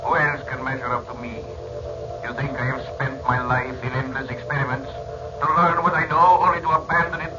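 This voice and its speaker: An actor playing an arrogant, power-hungry evil sorcerer in a theatrical, threatening 1940s radio style. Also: male voice, mid-range voice, little pitch movement, fairly quick, lightly acted. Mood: scornful. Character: arrogant villainous sorcerer, menacing, craving power. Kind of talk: 1940s radio serial acting. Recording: dull, noisy old radio recording.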